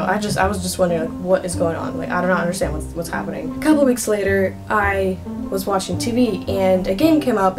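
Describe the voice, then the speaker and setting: A young woman from Russia whose first language is Russian, chatting casually to camera as a vlogger in a rambling way with lots of fillers. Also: mid-range voice. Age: young adult.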